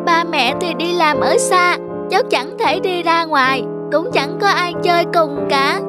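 A woman's voice: very high voice, unhurried. A woman voicing a sweet, lonely little boy in a cartoon, earnest and polite. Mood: wistful. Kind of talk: cartoon voice acting. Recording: music behind the voice.

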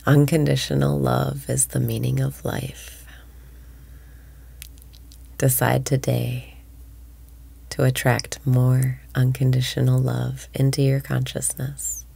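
A woman guides a breathing meditation in a low, gravelly voice that keeps slipping into soft whispers. She speaks slowly and warmly, close to a dry, deadened mic. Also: little pitch movement, no echo. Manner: slow soothing delivery, drops into whispers.

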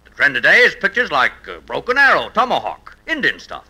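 A man playing a brisk, dismissive Hollywood studio producer, glib and patronizing, in 1950s radio comedy. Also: a little high, wildly swooping pitch, sharp tone, slightly rough, exaggerated voice.

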